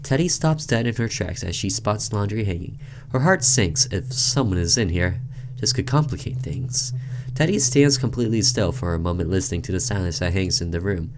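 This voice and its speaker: A man reading visual novel narration aloud in a dramatic, deliberate storytelling manner, with casual commentary as a gaming host. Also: low voice, background noise.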